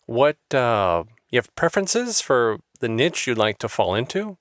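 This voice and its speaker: A man's voice: mid-range voice, sing-song, a little slow, dry recording, clean studio sound. A man hosting an interview show, upbeat and effusive in how he talks to his guest. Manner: upbeat and effusive, with scripted-sounding intros. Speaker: podcast host.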